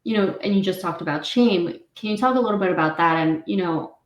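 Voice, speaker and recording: A woman's voice: on the low side, brisk. A woman in her thirties from New Jersey with a New York–New Jersey accent, hosting an interview and asking questions in a hesitant, rambling way with many ums. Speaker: podcast host. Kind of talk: interview chat. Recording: echoey room.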